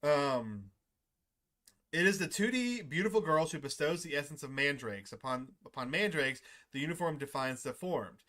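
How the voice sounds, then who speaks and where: A gaming YouTuber reading visual novel dialogue aloud in an expressive way, reacting along and sometimes stumbling over long words. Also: medium pitch, moderate pace.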